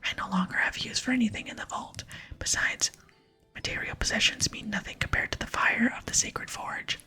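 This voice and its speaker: A woman voicing a solemn, wistful last dwarf smith in a breathy ASMR whisper for a game reading. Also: medium pitch, a little fast, sharp tone, soft background music, pitched below the performer's own voice, natural voice. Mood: earnest, solemn. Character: solemn lonely last dwarf smith, mournful but hopeful.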